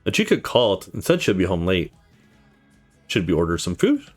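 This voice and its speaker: Man playing devoted, pushy young woman in a visual novel, concerned, very expressive pitch, soft music underneath. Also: normal speed, exaggerated voice. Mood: concerned, eager. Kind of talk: visual novel dialogue read aloud.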